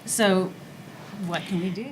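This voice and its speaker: A woman's voice: fairly low pitch, steady tone, slow, slight background noise, poor audio. A woman talking earnestly and conversationally in a casual roundtable discussion.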